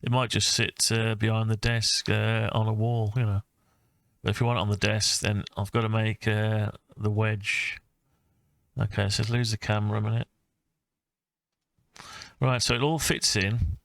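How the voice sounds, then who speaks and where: A man talking through his own design project informally, thinking aloud with hesitant, halting phrases. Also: on the low side, little pitch movement, gravelly, fairly bright tone, no room sound. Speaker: hobbyist maker presenting own design project. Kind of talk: informal screen-recorded CAD design walkthrough.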